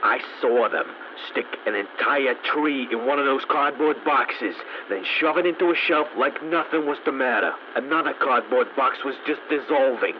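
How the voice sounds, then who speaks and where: A man's voice: medium pitch, normal speed, hardly any pauses, lightly acted. Guy doing a tired stranded mechanic talking into a log recorder, dry jokes, muffled lo-fi sound with hissy static. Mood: wry. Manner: wry, dry humor. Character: stranded wisecracking male mechanic, weary and resourceful. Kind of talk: recorded audio log diary.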